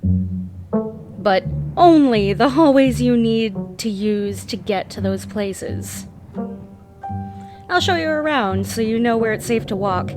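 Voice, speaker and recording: A woman's voice: fairly high pitch, animated, slow, pauses often, over music. A woman voicing a guarded, sardonic young woman in a visual novel, with dry, clipped, sarcastic delivery. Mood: dry, warning. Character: guarded, sardonic young woman, aloof cousin of a decaying estate. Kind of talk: fan-dubbed visual novel dialogue.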